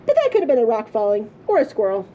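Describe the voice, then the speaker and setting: A woman storyteller reading a children's story aloud in a playful, theatrical way with drawn-out emphasis. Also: a little high, wildly swooping pitch, muffled recording, slight background noise. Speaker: librarian storyteller. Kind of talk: expressive children's storybook reading for a puppet show.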